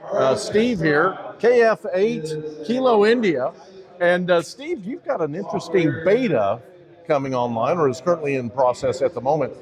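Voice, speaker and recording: A man with a fairly high, animated voice hosts an interview in a conversational way. A clearly audible crowd chatters behind him. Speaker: interviewer for an amateur radio club channel.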